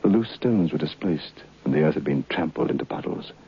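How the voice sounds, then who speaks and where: Deep, gravelly man a haunted storyteller. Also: expressive, exaggerated voice. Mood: grave, reflective. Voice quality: gravelly, husky. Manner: halting, dramatic storytelling. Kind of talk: old radio horror drama acting and narration. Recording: dull vintage radio recording.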